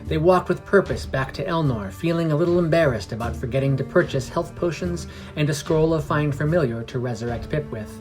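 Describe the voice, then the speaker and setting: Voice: medium pitch, a little fast, few pauses, a little mellow. A storyteller reads a scripted fantasy tale as a podcast narrator. The voice sits in a middle register, with music playing underneath throughout. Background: background music.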